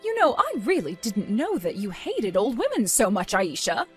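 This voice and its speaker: A woman's voice: mid-range voice, huge pitch swings, normal speed, soft background music. A woman voicing a stern, disappointed mother nearing retirement age in a dramatized story, scolding with weary firmness. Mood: sarcastic, hurt.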